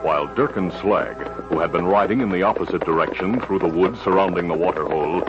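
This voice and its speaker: A man's voice: low-pitched, quick pace. A man narrating in a dramatic 1950s radio style, with grand, emphatic announcer delivery. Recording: dull lo-fi vintage radio recording. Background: dramatic music.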